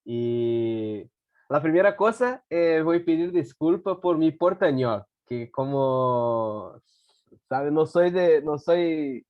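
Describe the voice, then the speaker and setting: A man from Brazil, a native Portuguese speaker with a Brazilian accent, giving a talk over a video call, often pausing and correcting himself as he mixes in Portuguese. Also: a little high, expressive, dry recording. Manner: halting, self-correcting, mixes Spanish and Portuguese. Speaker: guest speaker. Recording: dull, dry video call audio.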